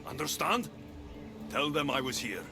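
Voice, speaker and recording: A man's voice: mid-range voice, expressive, unhurried, lightly acted. A man playing a bold, blunt adventurer in a video game, with an assertive, dry delivery. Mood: pressing, threatening. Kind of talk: video game cutscene acting.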